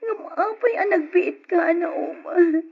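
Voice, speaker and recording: A woman's voice: very high voice, unhurried, vocal fry, full character voice. A woman with an Ilocano Filipino accent playing a devoted, wronged partner full of reproach in a radio drama. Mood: hurt, pleading. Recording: dull old radio drama recording.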